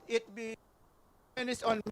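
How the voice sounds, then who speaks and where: A man's voice: very high-pitched, little pitch movement, measured pace. A man informally urges people at an event to come inside and listen. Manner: urging, coaxing, informal. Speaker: event speaker calling the crowd inside.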